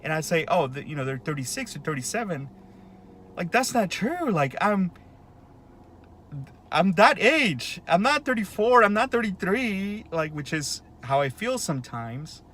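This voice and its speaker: A man's voice: sits high, very animated, measured pace, a bit bright. A man in his late thirties talking in a casual, rambling monologue, with lots of fillers and restarts. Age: 30s. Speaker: vlogger.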